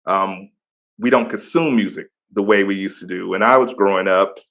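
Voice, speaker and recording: Deep-voiced bright male interview host. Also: normal speed. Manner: enthusiastic, slang-heavy praise. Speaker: podcast host. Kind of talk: casual interview.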